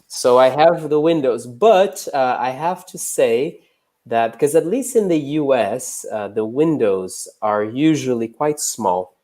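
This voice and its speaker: A man from Brazil hosts a live online English lesson in a relaxed, chatty way. His voice sits at a middle pitch, and his speech is full of small uh and um fillers. He sounds young and easygoing. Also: unhurried. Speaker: English teacher.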